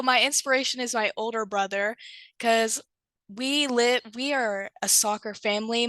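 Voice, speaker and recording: A woman's voice: on the high side, fairly bright tone, dry recording. A woman student answering questions on a video call, earnest and rambling with fillers. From United States.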